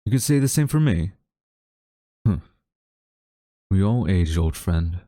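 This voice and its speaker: A man playing a haughty, wistful government agent in a dramatic audio roleplay, speaking in a smug, deliberate, theatrical way to a captured former friend. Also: low voice, lively intonation, dark tone, no echo, studio quality. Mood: smug taunting.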